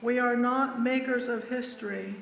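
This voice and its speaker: A man with a strikingly high-pitched voice reads quotations aloud. It is a reverberant hall recording with faint music behind. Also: fairly even intonation, moderate pace, a bit bright. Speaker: church member reading. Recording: echoey hall, music underneath.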